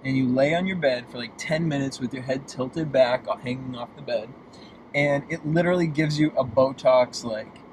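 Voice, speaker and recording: Man, late twenties, from Boston, casual guest chat, expressive, candid, noticeable road noise. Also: mid-range voice, normal speed, low-quality recording. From Boston, United States. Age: late 20s. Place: inside a moving car. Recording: clear vehicle road noise. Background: car driving noise.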